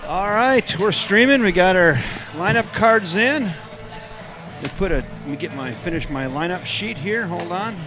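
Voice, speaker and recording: A man's voice: high-pitched, expressive, a little slow, music in the background. Easygoing tired-sounding baseball play-by-play man. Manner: joking, easygoing banter. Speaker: play-by-play broadcaster. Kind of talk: casual baseball commentary. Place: outdoor ballpark.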